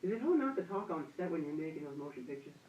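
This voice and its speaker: Man acting a old-time radio host, animated pitch, gushing, folksy, echoey thin distant recording. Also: racing, lo-fi sound. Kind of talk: amateur 1920s radio broadcast acting. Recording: roomy, distant-miked amateur recording, thin sound.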